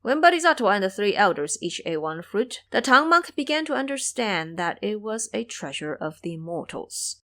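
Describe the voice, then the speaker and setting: A woman narrating a scripted audio drama in a measured, expressive storytelling manner. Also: medium pitch.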